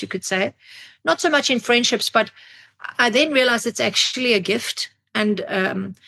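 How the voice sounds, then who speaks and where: A woman, who grew up in Africa, talks as a podcast guest and tells stories in a thoughtful way, often pausing to find her words. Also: fairly high pitch, creaky, bright, forceful tone. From Zimbabwe, grew up in South Africa. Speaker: podcast guest, psychologist and drummer. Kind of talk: reflective interview storytelling.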